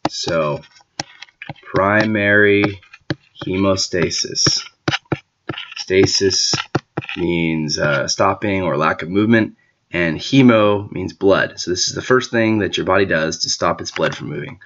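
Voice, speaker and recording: A man who is sick and losing his voice gives an informal whiteboard lecture, often clearing his throat and using filler words. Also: low-pitched, takes it slowly, fairly bright tone, dull audio, a little hiss or hum. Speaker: teacher explaining a medical topic.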